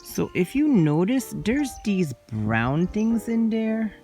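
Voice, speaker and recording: A woman voicing a gentle wonder-struck unicorn. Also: medium pitch, big pitch swings, soft background music. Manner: slow, soft-spoken, marveling. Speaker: nature-walk guide. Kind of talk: playful toy narration for children. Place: outdoors by a pond.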